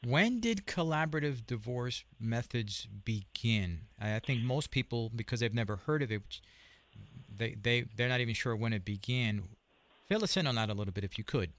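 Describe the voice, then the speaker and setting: A man's voice: mid-range voice, lively intonation, moderate pace, fairly bright tone. A man hosting an interview show, asking long, hesitant questions full of restarts. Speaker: show host.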